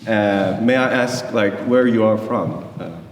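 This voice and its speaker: A man's voice: fairly low pitch, a little slow, slight background noise. A man in his thirties from South Korea, a native Korean speaker, giving a talk to an audience in English. He hesitates often and restarts his sentences, and he is friendly with the audience. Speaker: guest lecturer. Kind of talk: presentation to an audience. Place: lecture hall. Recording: echoey hall from far off.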